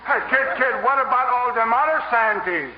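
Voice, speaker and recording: An actor playing a dim, eager, easily confused Broadway crook with slangy talk in an old radio comedy. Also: male voice, very high voice, moderate pace. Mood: puzzled. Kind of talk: 1950s comedy radio play acting. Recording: echoey, dull old radio recording.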